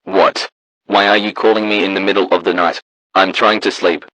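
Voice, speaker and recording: A man acting as a grumpy man roused at night in a phone skit. The voice is low and sharp, stiffly delivered, with a very dry sound. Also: fairly even intonation, normal speed, no echo, poor audio. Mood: annoyed. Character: grumpy sleepy man woken by a phone call. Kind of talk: scripted phone-call skit.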